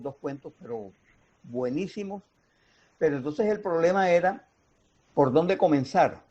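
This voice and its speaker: A man with a Colombian Caribbean accent explaining things in a didactic, deliberate way with pauses, as in an interview. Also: a little high, dark tone. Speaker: radio drama writer and mentor. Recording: dull, muffled lo-fi sound.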